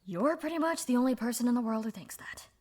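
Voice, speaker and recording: A woman voicing a young woman speaking softly and haltingly about her past, in a fan audio drama. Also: fairly high pitch, animated. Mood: somber. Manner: soft, halting, confessional. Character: young woman, subdued, candid.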